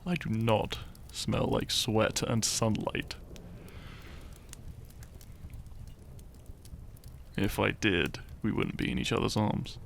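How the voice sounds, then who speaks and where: A man playing a shy, tender young hero in love in a romantic audio roleplay, speaking gently and intimately. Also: lowish voice, steady tone, gravelly, a little hiss or hum. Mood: affectionate, playful. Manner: slow, gentle, intimate, halting.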